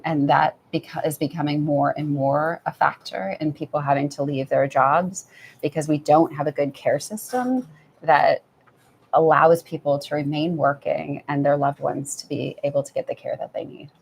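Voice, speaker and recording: Soft-spoken woman with a gravelly low voice. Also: a little hiss or hum. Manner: soft-spoken, thoughtful, many restarts. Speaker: author guest. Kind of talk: live author talk. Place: event venue with audience.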